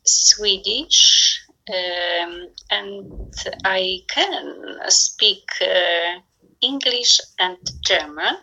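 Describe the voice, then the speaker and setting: A woman's voice: medium pitch, lively intonation, very slow, pushed and bright, rough recording. A woman with a Polish accent, a native Polish speaker, hesitantly searching for her words in a casual conversation practice, with many fillers. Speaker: language learner.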